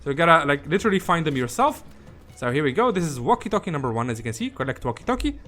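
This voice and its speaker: A man's voice: a little high, sing-song, very fast, bright, forceful tone, faint music behind. A man giving an informal, hesitant gameplay guide, often repeating himself as he goes. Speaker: gaming video host. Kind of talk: casual gameplay guide commentary.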